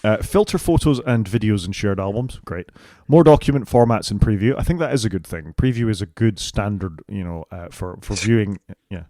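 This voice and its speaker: A man's voice: lowish voice, animated, brisk, warm tone, no echo, clean studio sound. A British man co-hosting a casual tech podcast, working through a list with wry, opinionated asides. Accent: British English. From United Kingdom. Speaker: podcast co-host.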